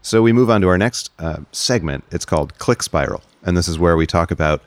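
Very low-voiced man hosting a podcast. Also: animated, fairly quick, no echo. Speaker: podcast host. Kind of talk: casual podcast chat.

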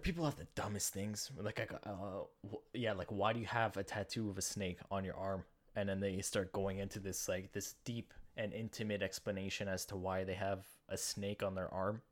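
A young man with a slight lisp, hosting a solo podcast and rambling through jokey, self-deprecating stories. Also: fairly low pitch. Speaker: podcast host. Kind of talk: casual solo podcast monologue.

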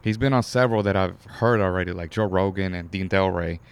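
A man with a deep voice hosting a casual podcast chat, excitable and loose, swearing now and then. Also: brisk, gravelly, smooth. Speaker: podcast host.

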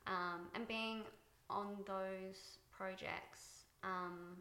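A soft-spoken woman being interviewed about her directing work, thoughtful and pausing with fillers. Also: medium pitch, steady tone, roomy sound. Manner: thoughtful, many fillers and restarts. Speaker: guest, TV director. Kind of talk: reflective interview talk.

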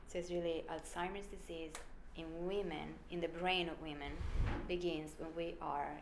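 A woman's voice: on the low side, fairly even intonation, normal speed, reverberant, noisy background. A woman with an Italian accent, a researcher explaining her work in an emphatic, conversational interview. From Italy. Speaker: brain researcher guest.

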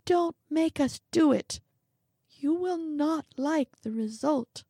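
Woman playing a timid little man in a storybook, high, hushed, pleading tone. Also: slow, creaky, no echo, clean studio sound, heavily acted. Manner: soft, high and pleading, sometimes whispered. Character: small anxious man, pleading and warning.

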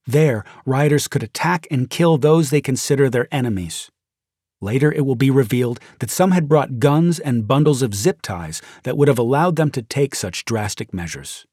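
A man's voice: mid-range voice, lively intonation, a little mellow, breathy edge, no room sound. Grave American male narrator, very dry sound. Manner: measured, grave, deliberate delivery. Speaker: audiobook narrator. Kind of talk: dramatic scripted audiobook narration of a nonfiction memoir. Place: dry recording studio. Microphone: close studio microphone.

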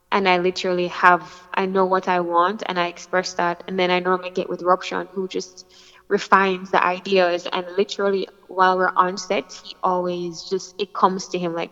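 A woman's voice: on the low side, monotone, normal speed, fairly bright tone, big-room sound, muffled recording. A young Jamaican woman with a slight American influence, a singer talking casually and animatedly in an interview with many fillers and restarts. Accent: Jamaican, with some American influence. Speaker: dancehall singer, guest.

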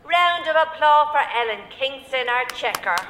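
An actress in an audio drama playing a showy bingo caller, projecting announcements in an echoey hall. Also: female voice, high voice, expressive, normal speed, very noisy. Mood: showy, upbeat.

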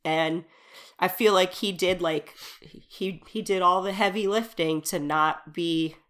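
A woman's voice: fairly low pitch, measured pace, fairly bright tone. A woman co-hosting a podcast with a stuffed-up nose from allergies, chatting and giggling about a movie. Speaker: podcast co-host. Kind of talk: casual movie review chat.

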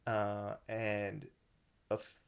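A man hosting a podcast, telling stories in casual chat with a lot of swearing and animated energy. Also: lowish voice, drawn-out words, creaky, smooth. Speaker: podcast host. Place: indoor studio room.

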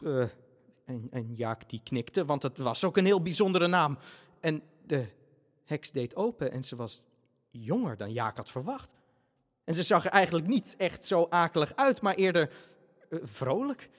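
A man narrating a family radio play as a theatrical storyteller, with dramatic pauses and hesitations. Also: a little high, sing-song. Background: music.

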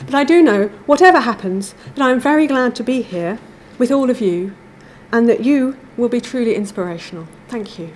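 A woman giving a measured, formal academic lecture from a prepared text. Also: on the high side, expressive, noisy background. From England.

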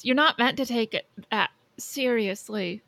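A woman's voice: on the high side, unhurried, vocal fry, fairly bright tone, no echo. A woman co-hosting a podcast, debating films casually in a blunt, argumentative, sweary way. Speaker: podcast co-host.

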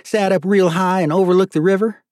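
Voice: medium pitch, steady tone, a little fast, a little mellow, dry recording, clean studio sound, voice pushed up, close to an everyday voice. A narrator voicing a folksy, bitter, cussing judge in an audiobook story. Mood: bitter, indignant.

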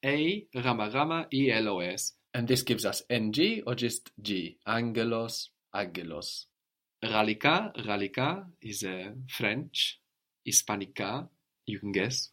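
A man with a lowish voice leads a one-on-one language lesson. He explains patiently, quizzes his student, and often ends points with a questioning "no?". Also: animated, measured pace, a bit bright. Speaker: language teacher.

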